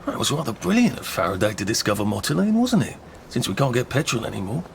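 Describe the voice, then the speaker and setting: A man's voice: mid-range voice, very animated, fast, creaky, slight background noise. An actor playing a mild, hesitant, wry English everyman adventurer in a video game, musing politely in a British accent. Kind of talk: video game character acting.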